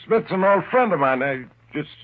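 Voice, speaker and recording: A man's voice: on the high side, animated, normal speed, natural voice. An actor playing a nervous, evasive hired gunman protesting in an old-time radio crime drama. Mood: nervous denial. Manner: stammering, protesting. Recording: muffled, narrow-band old radio recording.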